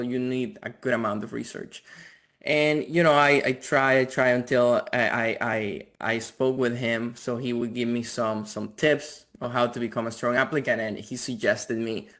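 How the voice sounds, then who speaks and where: Male guest, thirties, from the Dominican Republic, medium pitch, casual talk full of repeated words. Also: fairly bright tone. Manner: repeats words, frequent "like" and "you know". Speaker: interview guest, physician. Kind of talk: casual conversational interview.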